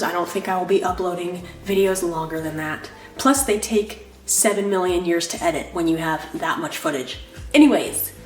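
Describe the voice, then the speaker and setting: Young woman, congested and nasal, chatty rambling vlogger, low-ish voice in an echoey room. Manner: rambling, lots of "like" fillers, apologetic. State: nasal from a cold. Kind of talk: casual chatty vlog.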